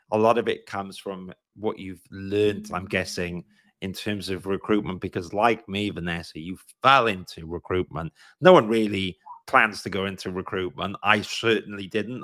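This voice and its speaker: A man's voice: on the low side, sharp tone. A man with a Welsh accent hosting an interview, affirming his guest and asking long, conversational questions. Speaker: podcast host.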